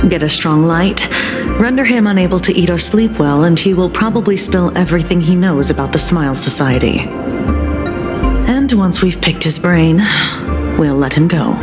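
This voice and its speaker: A woman playing a jaded, low-voiced female official. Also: lightly acted. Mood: coolly ruthless. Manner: dry, matter-of-fact, cynical. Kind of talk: dramatic video game voice acting. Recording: loud music under the voice.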